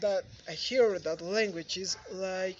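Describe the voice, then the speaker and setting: Ecuadorian guy, spanish accent, slow halting english, outdoors on his phone, faint music behind. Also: sits high, dull audio. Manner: careful, halting learner English with repeats and fillers. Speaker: English learner giving feedback. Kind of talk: prepared testimonial to camera. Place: outdoors under open sky.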